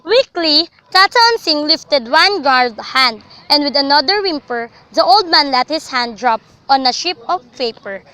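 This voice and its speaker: A woman's voice: sits high, lively intonation, measured pace, hardly any pauses, pushed and bright, rough recording. A young woman narrating a scripted story for a radio drama.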